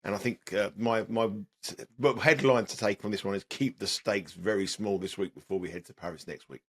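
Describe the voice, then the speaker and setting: A man talks through tennis betting in a loose podcast chat, his low voice hoarse and scratchy from a lost voice. He rambles and restarts, leaning on fillers as he thinks aloud. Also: brisk, fairly bright tone.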